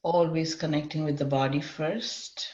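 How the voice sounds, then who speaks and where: A woman leading a guided meditation in a measured way, often pausing in the middle of sentences. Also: deep voice, steady tone, darkish tone. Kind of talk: guided meditation, semi-improvised. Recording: dull lo-fi, echoey room.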